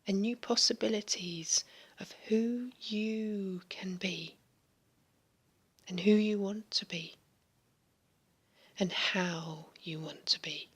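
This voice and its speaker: Slow, breathy whispering woman. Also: medium pitch, fairly even intonation, fairly bright tone. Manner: slow, soothing, largely whispered delivery. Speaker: hypnotherapist. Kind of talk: guided hypnosis recording.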